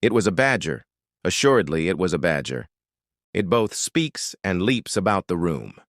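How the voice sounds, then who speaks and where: A man's voice: deep voice, sing-song, moderate pace, a bit bright, no echo, studio quality, heavily acted. A man playing a pious old priest from a storybook, pompous, exclamatory and easily rattled. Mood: terrified.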